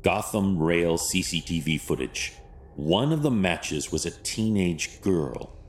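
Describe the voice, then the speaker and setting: An actor playing a grim, stoic masked vigilante detective in an audio drama, speaking in clipped, formal, deadpan phrases. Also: male voice, deep voice, slow, a little hiss or hum. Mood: analytical, stoic.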